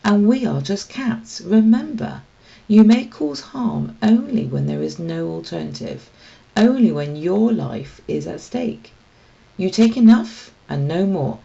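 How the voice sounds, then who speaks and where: A woman's voice: mid-range voice, expressive, unhurried, creaky, warm tone, highs cut off, voice pushed up. Woman reading as a commanding old tomcat mentor. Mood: firm, teaching. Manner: emphatic, instructive. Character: commanding old male cat mentor, stern and wise. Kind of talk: children's storybook read aloud.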